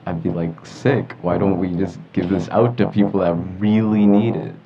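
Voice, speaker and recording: A man's voice: sits low, fairly even intonation, moderate pace, over music. A young American man chatting casually as a podcast panelist. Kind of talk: casual chat. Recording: dull audio with faint room noise.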